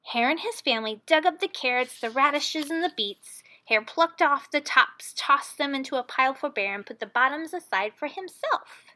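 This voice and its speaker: High, animated woman reading a children's story. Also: normal speed, few pauses. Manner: expressive, dramatic. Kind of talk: children's picture book read-aloud.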